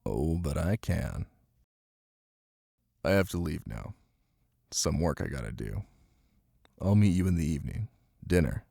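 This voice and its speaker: A man playing a possessive, menacing Italian mafia husband in an intimate ASMR roleplay, speaking in a commanding way with deliberate pauses. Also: rumbling low, sing-song, creaky, mellow, dry recording, clean studio sound, heavily acted. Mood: controlling, brisk.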